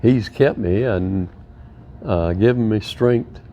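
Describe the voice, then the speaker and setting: A man's voice: lowish voice, slow pace, mellow, muffled recording, a little hiss or hum. A man in his nineties, a war veteran being interviewed, telling folksy stories with a chuckle. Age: 90s. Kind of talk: interview conversation.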